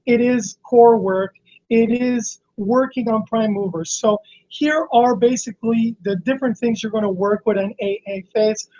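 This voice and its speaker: A hoarse man with laryngitis, a fitness coach teaching on a live stream in a halting, explanatory way with many restarts. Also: sits high, darkish tone. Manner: explanatory, frequent fillers and restarts. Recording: slightly dull lo-fi stream audio.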